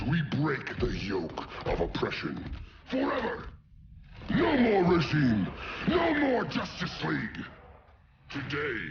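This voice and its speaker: A man's voice: fairly high pitch, gravelly, highs cut off, very noisy, full character voice. A man voicing a huge, arrogant gorilla warlord in a game cutscene, speaking in a grandiose, imperious way. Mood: rallying. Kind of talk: video game cutscene acting. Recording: loud music behind.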